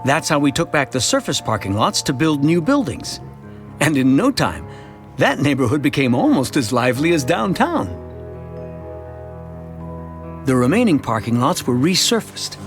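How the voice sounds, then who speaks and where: Expressive, higher-voiced suburban family man. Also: normal speed, long pauses, over music. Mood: upbeat, proud. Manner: conversational, expressive storytelling. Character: chatty, earnest suburban family man telling his town's story. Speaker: narrator. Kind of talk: scripted first-person narration for an animated educational short.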